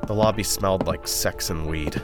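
A man plays a gritty, foul-mouthed convict telling his bleak story in an audio drama. His voice sits low and brooding. Music swells behind him with rain and thunder. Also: normal speed, close to an everyday voice. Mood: grim. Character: bitter, lost convict recounting his grim story. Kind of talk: scripted first-person noir-style narration in an audio drama.

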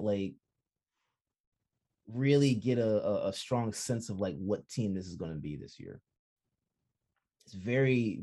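A man co-hosting a sports podcast, talking in halting bursts with many restarts, easily excited. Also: fairly low pitch. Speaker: podcast co-host. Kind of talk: casual sports chat.

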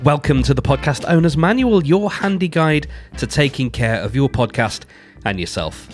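A man's voice: mid-range voice, expressive, moderate pace, music in the background. A man hosting his own podcast, talking casually and rambling a little, with a congested, nasal voice from a cold. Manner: chatty, rambling, self-correcting. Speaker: podcast host and producer. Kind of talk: casual solo podcast talk.

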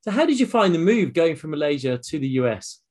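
A man's voice: a little high, very animated, quick pace, a little mellow. A man with a British accent hosting a casual, conversational interview. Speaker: podcast host, interviewer.

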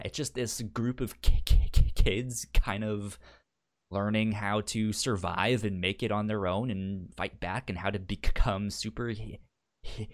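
A man with a somewhat low voice talks in relaxed podcast chat. He stammers a lot and repeats the first sounds of words before he gets them out. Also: creaky. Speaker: podcast co-host. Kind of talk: casual chat.

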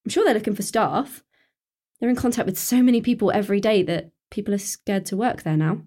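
A woman's voice: medium pitch, fairly quick, dark tone, natural voice. A woman with a British accent playing an anxious, sensible teenage daughter in a naturalistic radio play, earnest and cautious.

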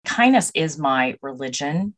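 A woman's voice: low-pitched, expressive, unhurried, fairly bright tone. A woman guest speaking about her life in a resolute way. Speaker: interview guest. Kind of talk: personal testimony.

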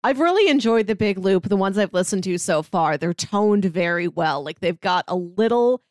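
A woman's voice: mid-range voice, expressive, normal speed, a little mellow, no room sound. A woman with a mid-range voice speaks as a podcast co-host. Her delivery is casual and conversational, recorded dry with hardly any room sound.

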